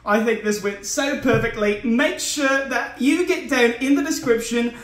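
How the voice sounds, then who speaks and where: A man's voice: extremely high pitch, unhurried, fairly bright tone. A man hosting a let's play interview in a goofy, over-eager and emotional manner. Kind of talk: goofy game interview chat. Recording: roomy echo, music behind.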